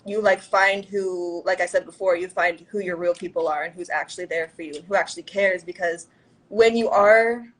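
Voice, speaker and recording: A woman's voice: lowish voice, steady tone, normal speed, fairly bright tone, low-quality recording. A young adult woman talking as a guest in a casual interview, rambling with lots of "like" and "you know". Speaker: guest musician.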